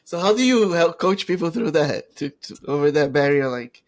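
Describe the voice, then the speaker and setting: A man with a Brazilian Portuguese accent hosts a casual podcast interview. He talks with eager excitement and circles around his questions, restarting often. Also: on the high side, moderate pace. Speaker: podcast host.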